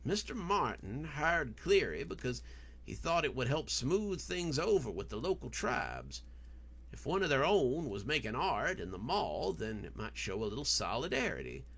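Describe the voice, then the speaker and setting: An angry, bitter man in a horror story, acted out by a male narrator. He speaks gruffly and insistently, with a creaky edge and animated pitch. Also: normal speed, exaggerated voice.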